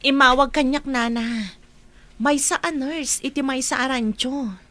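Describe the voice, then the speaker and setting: An actress with an Ilocano accent playing a concerned young daughter in a radio drama. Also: female voice, sits high, unhurried, sharp tone.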